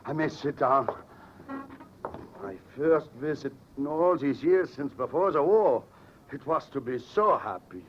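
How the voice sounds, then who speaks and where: An actor playing a polite, money-minded foreign businessman with a continental European accent, speaking formally and haltingly in vintage radio drama. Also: fairly high pitch, animated. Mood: mournful, awkward. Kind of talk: 1940s-50s radio drama acting. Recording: dull, noisy vintage radio recording.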